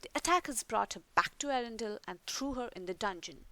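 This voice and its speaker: A woman reading a children's story aloud in an expressive, deliberate storytelling manner. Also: a little high, dry recording. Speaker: storybook reader.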